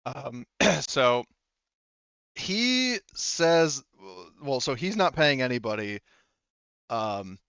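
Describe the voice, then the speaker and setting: A man running a tabletop roleplaying game, narrating casually in a halting, rambling way with some swearing. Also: mid-range voice, big pitch swings, creaky, fairly bright tone, clear, resonant tone, no echo, highs cut off. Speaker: game master.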